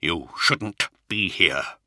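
A man voicing a weary, brooding commander of knights in an audiobook, with a rough, worn voice and a slow, grave delivery. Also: sits low, animated, bright, forceful tone, dry recording, clean studio sound, heavily acted. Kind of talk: audiobook character acting.

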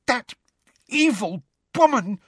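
A man's voice: sits high, very animated, measured pace, dry recording, studio quality, pitched above the performer's own voice, heavily acted. A man voicing an earnest, indignant teenage schoolgirl in an audiobook, with a shaky, emotional delivery. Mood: outraged.

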